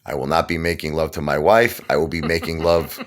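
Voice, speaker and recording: A middle-aged man with a New York accent talks in a very low, deep voice. He rambles through casual chat, stacking fillers and restarts as he goes. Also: brisk. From Brooklyn, New York. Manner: rambling, animated storyteller with many fillers. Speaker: channel host.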